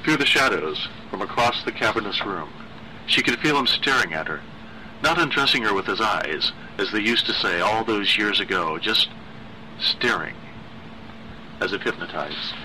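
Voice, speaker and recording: A male storyteller reads literary horror in a measured, dramatic style. His voice is on the low side and strikingly bright and sharp, with faint noise behind it. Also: animated, low-quality recording. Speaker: story narrator.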